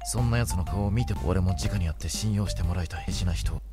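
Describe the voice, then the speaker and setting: A man's voice: low voice, racing, natural voice. A man voicing a blunt, earnest workman mentor who makes solemn declarations in an anime visual novel. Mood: blunt, insistent. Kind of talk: Japanese visual novel voice acting. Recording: game dialogue over clear background music.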